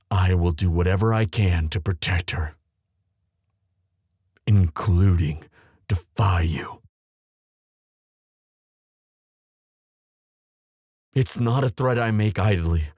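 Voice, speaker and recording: A tender young crown prince, acted by a man for a romantic audio drama, speaks in a low, dark voice with a formal, courtly manner. He is earnest and devoted, halting as feeling takes over, and the sound is close and very dry. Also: dry recording. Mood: resolute.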